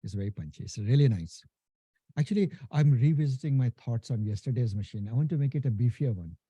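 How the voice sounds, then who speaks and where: A man's voice: medium pitch, lively intonation, fast talker, warm tone, husky, no room sound. A man with a thick Indian accent, giving a casual live technical lecture to a class, often asking "right?" and restarting sentences. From India and Nepal. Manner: casual, talks to the class as "guys", many "right?" tags and restarts. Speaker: instructor.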